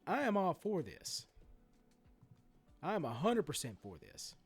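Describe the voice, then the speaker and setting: A man's voice: a little high, huge pitch swings, measured pace, husky, faint music behind. A middle-aged man with a sore throat and stuffy nose, ranting indignantly as host of a sports card channel. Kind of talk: ranting commentary. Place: home room.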